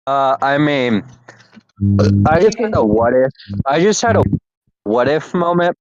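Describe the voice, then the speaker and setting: A man's voice: fairly high pitch, very animated, unhurried, no room sound. A young man in casual chat as podcast co-host, rambling with restarts and laughter. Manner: rambling, laughing, self-interrupting. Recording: dull lo-fi mic.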